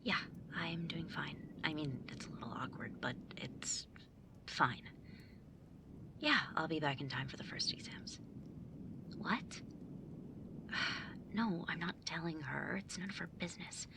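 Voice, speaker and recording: A woman playing a bookish, high-strung young mage student in an audio drama, sarcastic and emphatic in a naturalistic way. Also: measured pace, gravelly, long pauses, background noise. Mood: awkward, hushed.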